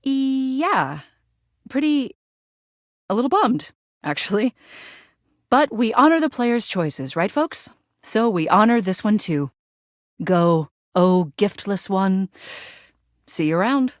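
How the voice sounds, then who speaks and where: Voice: mid-range voice, sing-song, takes it slowly, pauses often, dry recording, studio quality. A performer voicing an upbeat, chatty date companion in a video game, with a breezy, informal manner. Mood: gently deflated. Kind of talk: video game character dialogue.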